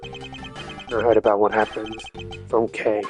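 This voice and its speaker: A man voicing a seasoned, fatherly male police detective in a video game, gruff, blunt and world-weary. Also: on the low side, level tone, takes it slowly, over music. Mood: grave encouragement.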